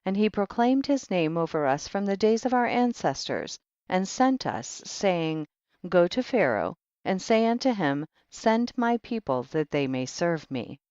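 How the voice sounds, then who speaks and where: A man giving a solemn, measured audiobook narration of old scripture in archaic wording. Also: sits high, big pitch swings, darkish tone, smooth, no room sound, dull audio. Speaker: audiobook reader.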